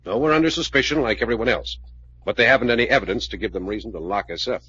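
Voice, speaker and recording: An actor playing a steady, blunt investigator in 1940s radio drama, laconic and plain-spoken, with an American accent. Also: male voice, on the low side, very fast, husky. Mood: cool, probing. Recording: dull old radio recording.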